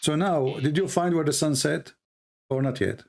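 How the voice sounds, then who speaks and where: A man's voice: a little high, moderate pace, vocal fry, a little mellow. A man hosting a live call-in debate, firing repeated pointed questions at a caller. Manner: pressing, repetitive questioning. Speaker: debate show host.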